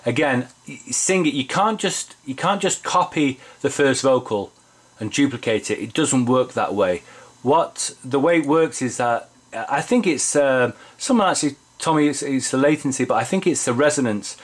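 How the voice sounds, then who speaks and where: A man's voice: medium pitch, animated, normal speed, slightly rough. A man giving a casual, rambling tutorial from his home studio, with frequent fillers and restarts. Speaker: home recording hobbyist.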